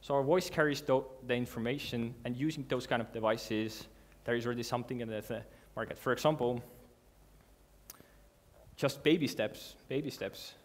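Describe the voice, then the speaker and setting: Man giving an earnest talk on stage. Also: medium pitch. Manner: earnest, halting, with restarts and non-native grammar. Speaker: conference speaker. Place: large dark hall with a seated audience.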